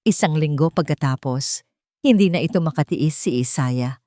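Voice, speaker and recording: A woman's voice: deep voice, normal speed, warm tone, no echo, studio quality. A woman reading a scripted romantic story as a dramatic narrator. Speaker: narrator of romance audio stories.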